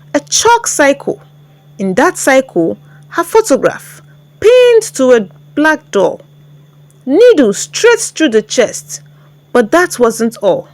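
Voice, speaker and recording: A woman's voice: sits high, no echo. A woman with a Nigerian accent telling a story in a dramatic scripted narration.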